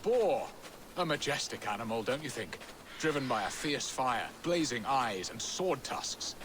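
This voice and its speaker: Over clearly noisy outdoor game sound, a jovial, scheming Saxon lord. His voice swings widely in pitch, flamboyant and florid, savoring every theatrical phrase of medieval drama. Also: sing-song, a little slow, fairly bright tone. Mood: exuberant. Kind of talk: dramatic video game cutscene acting.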